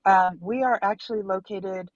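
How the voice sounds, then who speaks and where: A woman's voice: medium pitch, fairly even intonation, moderate pace, no echo. A woman from Dallas, a city council candidate, speaking earnestly as a remote guest from her car, with many fillers. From Dallas, Texas. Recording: dull, dry call audio.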